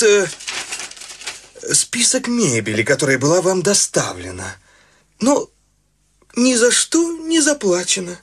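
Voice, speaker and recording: A man's voice: on the high side, slightly rough, full character voice. A man voicing a stern, officious agent collecting unpaid furniture, speaking formally and emphatically. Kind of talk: radio play acting.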